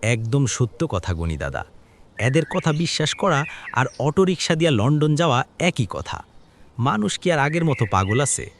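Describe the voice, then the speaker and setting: Male actor as cynical, preachy villager, rural Bangladeshi accent, very varied pitch, faint background noise. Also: very animated, normal speed. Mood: cynical, earnest. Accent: rural Bangladeshi Bengali. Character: frank, cynical younger village man, earnestly moralizing. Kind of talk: cartoon village drama dialogue.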